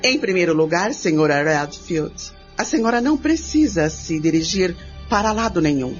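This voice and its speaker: A calm, firm woman voiced by an actress in a radio drama gives measured, matter-of-fact reassurance. The sound is slightly muffled, with faint music behind her. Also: fairly low pitch. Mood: firm, reassuring.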